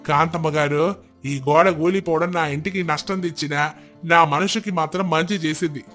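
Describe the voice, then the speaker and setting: A man's voice: fairly high pitch, fairly even intonation, normal speed, fairly bright tone, soft background music, natural voice. A man playing a remorseful, humbled elderly village husband in a moral story, apologetic and earnest. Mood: grateful. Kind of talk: moral storybook character dialogue.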